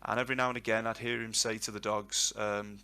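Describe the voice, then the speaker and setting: A man's voice: fairly low pitch, flat delivery, fast, bright, forceful tone, clear, resonant tone. A man in his thirties, a language tutor answering questions in a live session, long-winded and reflective. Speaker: Welsh tutor and guest. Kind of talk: live Q&A on a voice chat.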